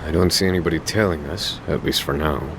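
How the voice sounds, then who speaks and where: A man's voice: very deep voice, quick pace, noisy background. A man playing a sly, confident fixer loyal to his boss in an English video game dub, speaking in a casual, coy way.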